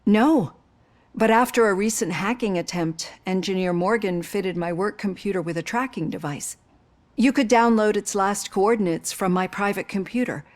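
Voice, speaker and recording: An actress playing a psychologist in her fifties who asks gentle, probing questions. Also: medium pitch, moderate pace, lightly acted. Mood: earnest. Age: 50s. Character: female psychologist in her fifties, caring and composed.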